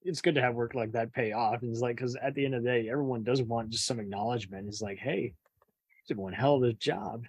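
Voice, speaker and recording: A man's voice: mid-range voice, brisk, breathy edge, no room sound. A man hosting a casual interview, talking informally with rambling questions. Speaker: interviewer, host.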